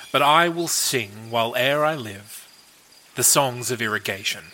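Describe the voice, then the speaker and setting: A man's voice: mid-range voice, sharp tone, low-quality recording. A man reading poetry aloud in a recitation style. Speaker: poetry reader. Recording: faint background noise.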